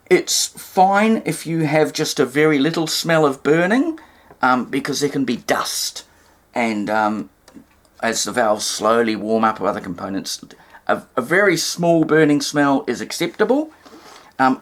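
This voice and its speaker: A speaker with a New Zealand accent explains a hands-on demonstration, hesitantly thinking aloud and carefully spelling things out. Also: medium pitch. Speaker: presenter of a vintage radio repair demonstration. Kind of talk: unscripted hands-on demonstration talk. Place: indoors, at a table in a room.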